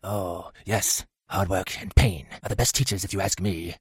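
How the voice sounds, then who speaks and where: A man's voice: low voice, moderate pace, breathy edge, no room sound, studio quality. A man playing a cruel, leering school caretaker in an audiobook, nasty, gloating and menacing in manner. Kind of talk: audiobook character acting.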